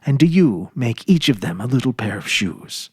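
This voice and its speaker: Male reader as a grateful, good-hearted storybook wife; breathy, lilting and earnest, with very lively pitch. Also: moderate pace, no echo, clean studio sound. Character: kindly, grateful shoemaker's wife in a fairy tale.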